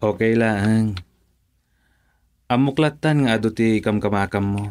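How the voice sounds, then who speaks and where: A man's voice: on the low side, measured pace, darkish tone, clear, resonant tone, lightly acted. An actor with an Ilocano accent playing a patient, easygoing young father and husband in a radio drama. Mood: calm, reassuring.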